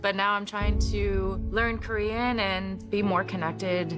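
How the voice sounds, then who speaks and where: A woman's voice: mid-range voice, fairly even intonation, slow pace, over music. A young woman from the United States telling her life story in a reflective, earnest way for a promo interview. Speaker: wrestling prospect in a promo video.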